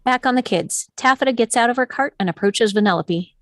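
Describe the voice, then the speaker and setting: A woman's voice: on the high side, a little fast, fairly bright tone, dry recording. Woman narrator, dry close sound. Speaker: narrator reading stage directions. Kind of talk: scripted narration.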